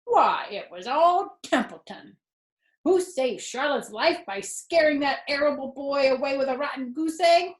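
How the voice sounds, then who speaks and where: A woman's voice: sits high, lively intonation, a little slow, pitched above the performer's own voice. A woman voicing a greedy, sarcastic male rat in a storybook, with mocking, theatrical complaints. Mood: indignant, boastful. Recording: roomy indoor echo.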